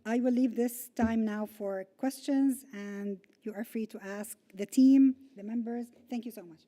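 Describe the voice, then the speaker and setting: Halting woman presenting to a room. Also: fairly high pitch, steady tone, mellow. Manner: hesitant, many fillers and restarts. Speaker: school programs staff presenter. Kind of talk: presentation with slides. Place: meeting room.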